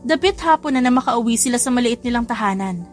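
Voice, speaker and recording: A woman reads a scripted love story as an audiobook narrator. Her voice sits fairly high, and music plays underneath. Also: animated, fast talker. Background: background music.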